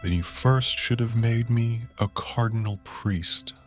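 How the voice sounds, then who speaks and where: An actor playing a modest, courtly medieval cardinal in an audio drama. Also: male voice, medium pitch, a little slow, faint music behind, lightly acted. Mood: amused modesty. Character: modest, genial medieval French cardinal deacon.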